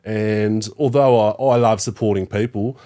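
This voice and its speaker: A man's voice: lowish voice, fairly even intonation, moderate pace, dark tone. A man in his thirties with an Australian accent, a guest on a podcast, talking in a laid-back way with lots of fillers and casual swearing. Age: 30s. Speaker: podcast guest, youth worker. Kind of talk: casual interview chat.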